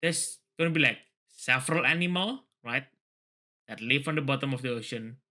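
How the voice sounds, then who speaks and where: A man hosting a read-along, moving between reading a story aloud and excitable, casual commentary full of tangents. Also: medium pitch, moderate pace. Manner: excitable, often laughing and digressing.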